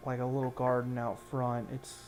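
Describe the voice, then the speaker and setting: A man narrating an improvised tabletop game as game master, halting as he searches for words. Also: mid-range voice, monotone, a little mellow, soft background music.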